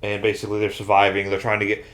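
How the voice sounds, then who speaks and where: American guy podcast host, lowish voice, just chatting with the others. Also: completely flat delivery, racing, a bit bright. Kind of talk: casual panel chat.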